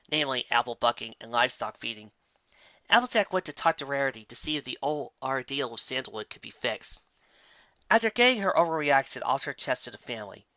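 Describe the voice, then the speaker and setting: Bright-voiced, expressive story narrator. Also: medium pitch, no room sound, highs cut off. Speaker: narrator reading fan fiction aloud. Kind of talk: scripted story narration with casual asides.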